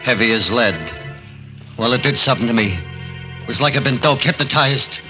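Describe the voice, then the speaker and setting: An actor playing a frightened, jittery working man on the run in 1940s radio horror, stammering and halting in plain colloquial speech. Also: medium pitch, music in the background, close to an everyday voice. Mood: jittery, desperate. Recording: muffled vintage 1940s radio recording.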